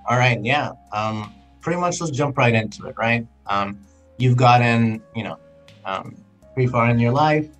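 A man's voice: medium pitch, animated, normal speed, a bit bright, faint music behind. A man hosting an interview, asking questions casually and hesitantly, with many fillers. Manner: hesitant, lots of fillers and you-knows.

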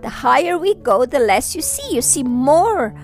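A woman's voice: high voice, normal speed, soft background music. A woman doing a patient, kindly pilot. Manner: calm and explaining.

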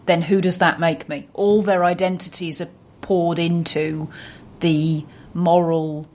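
A woman co-hosting a conversational film discussion in a measured, reflective way. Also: fairly low pitch, level tone, a little mellow. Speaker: podcast co-host.

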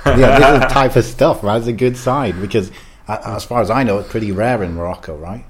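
A man's voice: fairly low pitch, fairly quick, slight background noise. A man co-hosting a podcast, chatting loosely and giving strong opinions with plenty of fillers. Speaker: podcast co-host. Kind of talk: casual chat.

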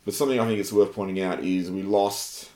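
A man's voice: deep voice, steady tone, fairly quick, mellow, roomy sound. A man with an Australian accent co-hosting a casual sports podcast, blunt and rambling, with some swearing. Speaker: podcast co-host.

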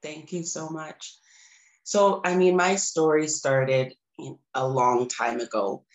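A woman, a little raspy and hoarse from a cold, telling her personal story in an earnest, reflective way as a podcast guest. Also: low-pitched, steady tone, takes it slowly. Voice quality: slightly raspy. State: recovering from a cold, slightly hoarse. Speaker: podcast guest, empowerment coach and holistic healer.

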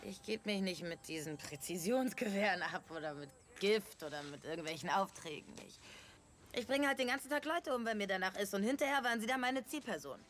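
A woman's voice: mid-range voice, expressive, brisk, fairly bright tone, slight background noise, close to an everyday voice. An actress playing an erratic, cheerfully menacing female assassin in dubbed series dialogue, blunt and bossy in manner. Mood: matter-of-fact. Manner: blunt, casually threatening, bossy.

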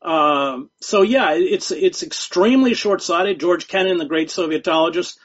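A man speaking as a guest journalist in an interview, talking in a measured, emphatic way and often repeating words. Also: high-pitched. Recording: dull lo-fi sound.

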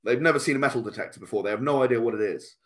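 A man speaking as an interview guest, explaining and storytelling conversationally, halting and repeating words as he goes. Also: medium pitch. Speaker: podcast guest, comic writer.

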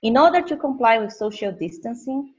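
A woman hosting a podcast interview from home over a call, talking in halting, restarting phrases. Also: on the high side, expressive, darkish tone, breathy edge, dull audio. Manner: hesitant, restarts sentences. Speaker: podcast host, lecturer. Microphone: home setup over digital platform.

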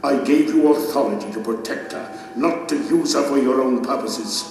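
A man's voice: medium pitch, normal speed, a little mellow, lightly acted. Man acting a stern, echoing king passing judgment. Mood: grieved, condemning. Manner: grave, declamatory. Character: majestic king and judge, stern and righteous. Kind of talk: solemn audio drama acting. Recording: cavernous echo, distant, music underneath.

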